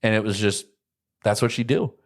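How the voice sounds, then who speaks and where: A man's voice: fairly low pitch, normal speed. Young american dude just chatting, slangy, acts out his old stories. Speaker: podcast host. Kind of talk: casual chat, telling childhood stories.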